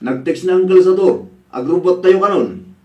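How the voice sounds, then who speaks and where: A calm, dutiful grown son. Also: male voice, sits high, normal speed. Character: grown son helping his mother. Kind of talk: Ilocano radio drama acting.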